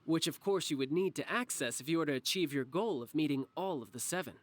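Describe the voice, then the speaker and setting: A man playing a sly, playful young schemer in a video game, with a smooth-talking, casual, teasing manner. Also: on the high side, quick pace, close to an everyday voice. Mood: smooth, knowing. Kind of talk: scripted video game dialogue.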